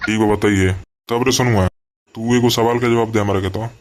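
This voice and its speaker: Man voicing a low-pitched, scolding Bhojpuri schoolteacher. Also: fairly even intonation, normal speed, very noisy, close to an everyday voice. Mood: questioning. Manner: coarse, teasing, scolding. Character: exasperated, scolding male village schoolteacher. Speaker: voice actor. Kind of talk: cartoon comedy dialogue.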